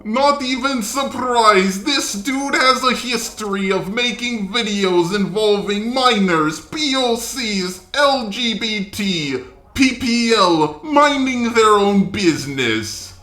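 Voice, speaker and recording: A man's voice: high voice, expressive, slow pace, sharp tone, echoey room, voice pushed up, heavily acted. A man voicing a whining online critic who pleads and scolds, in a mocking, exaggerated way. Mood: scornful.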